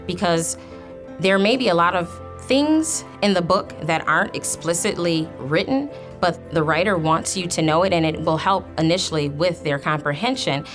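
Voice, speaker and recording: A woman's voice: on the low side, lively intonation, moderate pace, soft background music. A woman who teaches third grade, explaining a reading lesson step by step in a teacherly way. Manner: addresses children, explains step by step. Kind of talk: classroom lesson on reading strategies.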